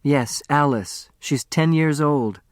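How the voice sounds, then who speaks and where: Man reading as a brisk, reassuring woman lawyer. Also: a little high, no room sound, clean studio sound. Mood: tender. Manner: matter-of-fact, gently reassuring.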